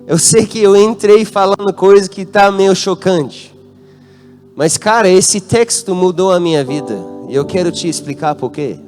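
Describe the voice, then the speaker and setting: An American man preaches with a voice that sits a touch high for a man. He asks the congregation to call out answers and speaks with an American accent. Soft instrumental music plays faintly underneath. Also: unhurried. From United States. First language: English. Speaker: guest pastor. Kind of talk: sermon. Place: church hall.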